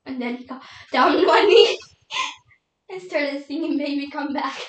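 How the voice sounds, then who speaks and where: A woman playing a grieving young widow in a solo dramatic monologue, emotional and halting, tearful and doubting God. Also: high voice. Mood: wistful reminiscing. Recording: echoey room.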